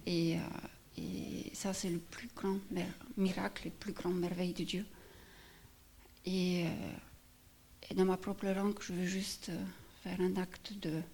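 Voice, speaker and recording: Woman, gravelly even testimony. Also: lowish voice, slow. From Poland. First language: Polish. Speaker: church member giving testimony. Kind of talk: personal testimony and prayer.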